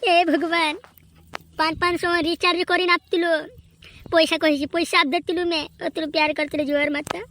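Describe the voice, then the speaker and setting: A performer voicing a heartbroken, melodramatic cartoon lover in a squeaky, whining voice. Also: flat delivery, moderate pace, pushed and bright. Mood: bitter, despairing. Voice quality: squeaky, artificially high voice. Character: heartbroken cartoon lover wailing over betrayal, squeaky and dramatic. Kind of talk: amateur comedy cartoon dub.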